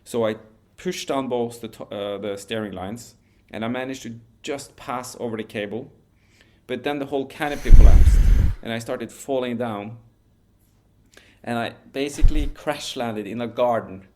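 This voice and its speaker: A man, telling a story as a podcast guest in a relaxed, measured way with frequent restarts. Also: medium pitch, a little hiss or hum. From Norway. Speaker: guest, wingsuit pilot.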